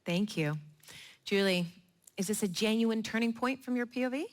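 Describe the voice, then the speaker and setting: A woman's voice: on the high side, normal speed. A woman moderating a live panel, thanking speakers and putting questions to them. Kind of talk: hosting a live discussion.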